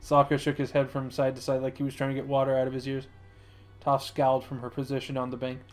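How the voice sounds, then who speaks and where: A man reading fan fiction as narrator, in an expressive storytelling style. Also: medium pitch, quick pace, soft background music.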